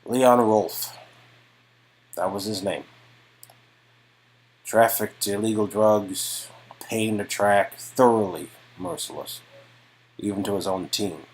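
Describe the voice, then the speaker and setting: A man playing a weary, guarded detective with a low, raspy voice, halting and brooding as he confides in someone. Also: a little mellow, poor audio. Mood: grave, intense.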